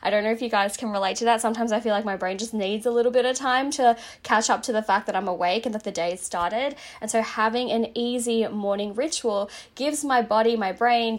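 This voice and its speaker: A woman's voice: medium pitch, a little fast, hardly any pauses. A woman hosting a casual self-help podcast, chatty and rambling with lots of fillers, saying she is slightly losing her voice. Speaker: podcast host.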